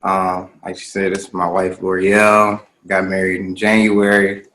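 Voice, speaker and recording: A man's voice: low-pitched, monotone, a little slow, fairly bright tone, roomy sound. A man in his twenties, laid-back and hesitant. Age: 20s.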